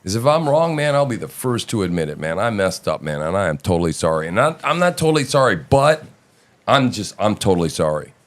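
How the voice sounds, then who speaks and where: A man's voice: on the low side, sing-song, a little fast. A man hosting a live audio talk show, talking casually and emphatically, swearing freely and often asking "right?". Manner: profane, emphatic, keeps checking in with "right?".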